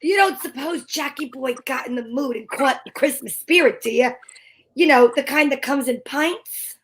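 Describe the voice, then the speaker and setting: Woman acting a wisecracking lady detective in an old radio play, high voice, slangy n wry, kinda loose read. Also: normal speed, a little hiss or hum, lightly acted. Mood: teasing. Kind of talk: amateur reenactment of old-time radio detective drama.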